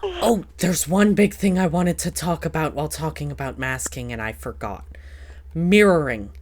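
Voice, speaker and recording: A woman's voice: low-pitched, animated, moderate pace, a little mellow. A woman hosting a casual podcast chat in a playful, teasing, rambling way. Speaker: podcast host.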